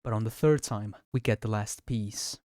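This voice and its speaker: A man's voice: lowish voice, moderate pace, darkish tone, a little hiss or hum. A man giving scripted, explanatory narration in the style of a video essay.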